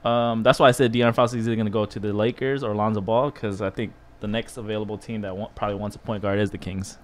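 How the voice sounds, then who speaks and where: Laid-back man with a lowish voice. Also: steady tone, fast talker, slight background noise. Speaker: co-host. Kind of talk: casual chat.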